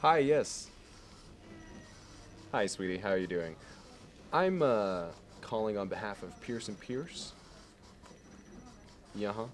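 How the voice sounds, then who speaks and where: Low-voiced, polished Wall Street banker played by a man. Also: lively intonation, slow pace, pauses often. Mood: slick, courteous. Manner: polished, meticulous, salesman-like, condescending. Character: smooth, obsessive, status-minded male Wall Street banker. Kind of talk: ASMR roleplay monologue.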